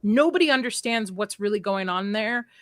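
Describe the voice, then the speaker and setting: Female panel guest, bright forceful voice, blunt opinions, dry close recording. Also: mid-range voice, expressive, normal speed, no echo. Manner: outspoken, opinionated, teasing. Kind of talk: casual panel chat.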